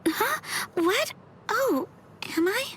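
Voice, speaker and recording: A woman's voice: very high voice, sing-song, drawn-out words, a bit bright, slight background noise, heavily acted. A woman voicing a sweet, insecure teenage schoolgirl in an anime game, speaking hesitantly and earnestly. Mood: wistful, flustered. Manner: hesitant, earnest, self-deprecating. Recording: music behind the voice.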